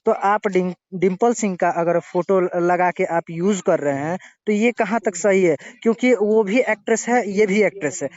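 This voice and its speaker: A man arguing haltingly as he questions someone in a confrontational phone interview, often cutting in to press his point. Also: high-pitched, darkish tone, dry recording. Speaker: interviewer questioning a singer over a phone call. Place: phone call recording. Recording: dull lo-fi recorded phone call.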